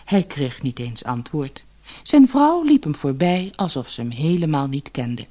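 Woman narrating a fairy tale, low voice, a bit breathy, very lively intonation. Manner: expressive, measured storytelling. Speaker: storyteller, narrator.

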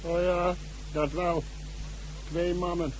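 An actor playing an entranced army sergeant in a Dutch radio drama, vacant and passive, replying slowly and indifferently. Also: male voice, high voice, level tone, slight background noise, rough recording. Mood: dazed, indifferent. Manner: vacant, indifferent, slow replies.

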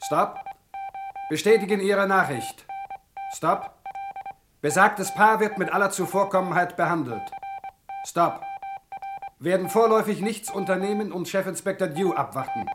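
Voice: mid-range voice, a little slow, pauses often, natural voice. An actor reading out urgent police telegrams in a clipped, impersonal manner in a vintage radio drama. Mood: formal. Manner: clipped, impersonal, saying stop between sentences. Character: formal telegram reader relaying urgent police messages. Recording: noisy signal with telegraph-like clicking.

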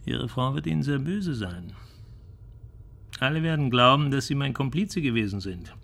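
A man's voice: mid-range voice, animated, moderate pace, vocal fry, fairly bright tone. An actor in a German radio play playing a bitter, cynical bankrupt businessman who lectures emphatically. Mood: forceful, cynical.

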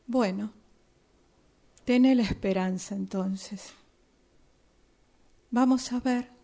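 A woman playing a weary, guarded mother in an improvised radio drama, speaking tersely with an Argentine accent and a breathy voice. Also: mid-range voice, takes it slowly, mellow. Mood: resigned. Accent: Argentine Spanish. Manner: terse, echoing questions. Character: weary, guarded mother of a teenage daughter, knitting.

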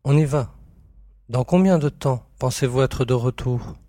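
Guy doing jovial space hunter, warm mellow voice, dry recording, breezy and sure of himself, scifi radio play. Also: mid-range voice, a little slow, no room sound, natural voice. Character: confident, jovial male space adventurer and keen hunter. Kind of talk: scripted French audio sci-fi drama.

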